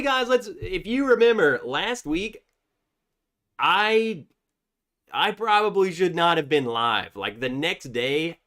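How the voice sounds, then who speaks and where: Congested male streamer, slightly high and very animated, upbeat host chatting with viewers. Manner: reads viewer chats aloud, chatty and upbeat. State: congested from a cold. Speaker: livestream host. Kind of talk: casual livestream chat.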